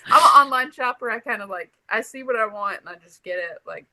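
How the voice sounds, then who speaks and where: Bright-voiced chatty woman in her thirties. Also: fairly high pitch, lively intonation, a little fast, no echo, rough recording. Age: 30s. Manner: chatty, laughs often, trails off. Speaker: podcast guest. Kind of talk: casual interview chat.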